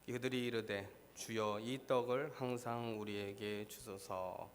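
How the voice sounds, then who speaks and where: A man preaching a sermon as pastor, hoarse from a cold, reading scripture and then explaining it earnestly. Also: fairly even intonation, measured pace, a little hiss or hum. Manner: earnest, rises in pitch and force when stressing a point. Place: church.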